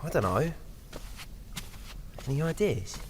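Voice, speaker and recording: A man's voice: a little high, big pitch swings, slow pace, a little mellow, slight background noise. A man playing a sarcastic, exasperated young prisoner in an audio drama, recorded with long reverb. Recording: long hall-like cell reverb.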